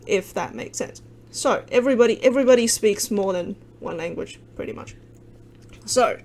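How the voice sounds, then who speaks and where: A woman's voice: mid-range voice, a little mellow. A young woman whose first language is Cantonese, casually explaining over maps in a rambling way, full of wry asides and self-interruptions. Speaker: video creator explaining with maps.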